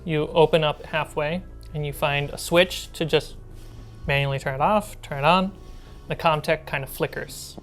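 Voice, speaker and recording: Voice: medium pitch, steady tone, moderate pace, a bit bright. Game master narrating over background music. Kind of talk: narrating a tabletop role-playing game.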